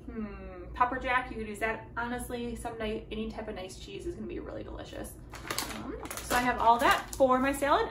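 An American woman with a slightly high voice talks through home cooking in a chatty, informal vlog style. She is recorded in an echoey kitchen at arm's length from the camera, with some faint noise behind her. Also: far from the mic. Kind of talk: casual chatty cooking demonstration. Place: home kitchen, some parts in a backyard garden. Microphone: phone or camera at arm's length.

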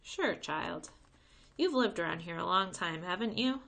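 Folksy grandmother voiced by a woman, creaky voice. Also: on the low side, animated, measured pace, a bit bright, heavily acted. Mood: fond. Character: warm, weary grandmother telling of old times.

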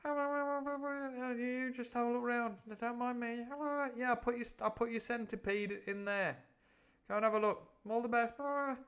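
A fussy owl curator from a cartoon-like game, pitched far up high. The bird chatters in nervous, babbling runs, politely put-upon as it shows visitors around. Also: male voice, fairly even intonation, brisk, a bit bright, clear, resonant tone. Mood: fussy, chattering. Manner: babbling blah-blahs, muttering politely. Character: fussy, nervous owl museum keeper, babbling, passive-aggressive.

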